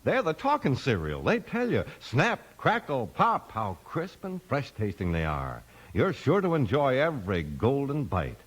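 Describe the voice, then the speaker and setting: Lively 1950s radio announcer, a man. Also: medium pitch, unhurried, breathy edge. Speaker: announcer and narrator.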